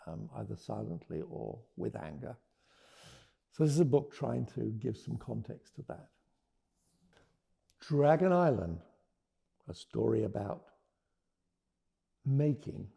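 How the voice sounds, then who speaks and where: Mellow, slow-paced man reading a storybook. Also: mid-range voice, expressive, creaky, breathy edge. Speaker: children's author reading his book aloud. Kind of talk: expressive storybook read-aloud with teaching notes.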